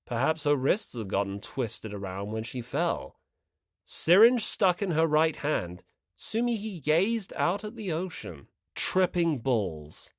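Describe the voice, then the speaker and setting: Lively audiobook narrator, dry and close. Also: medium pitch, takes it slowly, dry recording. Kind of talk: scripted expressive fiction narration.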